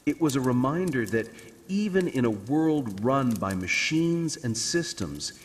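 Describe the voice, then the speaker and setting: Man, calm bedtime story narration, mid-pitched, dramatic delivery, long reverberant tail, some background noise. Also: a little slow.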